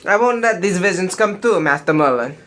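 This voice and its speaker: A woman's voice: on the low side, animated, brisk, fairly bright tone, slight background noise. A woman playing a brooding, devoted young male hero in a parody audio drama.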